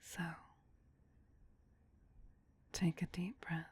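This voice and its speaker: A woman playing a tender, possessive vampire queen in an ASMR roleplay, in a breathy whisper with a soothing, intimate, doting manner. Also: normal speed, a little mellow, lightly acted. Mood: loving, gently coaxing.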